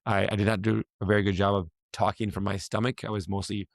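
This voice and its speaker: A man hosting a casual talk, his voice hoarse and raspy from a week of yelling, rambling and restarting as he asks questions. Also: on the low side, little pitch movement, fast, no echo, studio quality. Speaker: podcast host, fitness coach. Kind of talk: casual chat.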